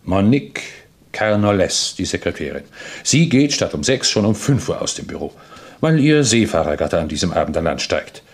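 A man's voice: low-pitched, big pitch swings, normal speed. A man acting a keen, persistent junior detective in a crime radio play, methodically and insistently laying out his reasoning.

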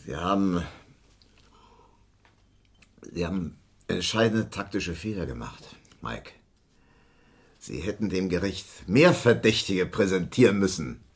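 A bitter, self-loathing stage director in a radio crime drama. His low, husky voice moves slowly and broodingly, then swings widely into theatrical scorn. He speaks with a sardonic, boozy edge of a washed-up drinker. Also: animated, exaggerated voice. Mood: scornful, weary. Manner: slow, theatrical, brooding, with sudden outbursts. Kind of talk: 1970s German crime radio play acting.

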